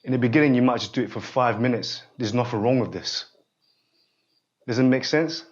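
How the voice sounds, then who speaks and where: A man in his forties guiding a student through a one-on-one therapy session, speaking haltingly and often stopping to check that the listener follows. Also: medium pitch, highs cut off. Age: 40s. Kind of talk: unscripted one-on-one guidance session.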